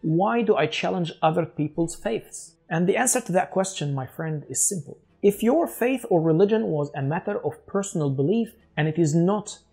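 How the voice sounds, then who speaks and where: A man in his forties with an Egyptian accent speaks English as a second language to his channel's viewers. His voice is mellow and a little husky, and his delivery is measured and earnest. He was recorded on a lapel mic in a studio. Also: high-pitched, expressive. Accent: Egyptian Arabic. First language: Arabic. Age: 40s. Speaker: channel host. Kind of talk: scripted talk to camera. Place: studio with dark backdrop.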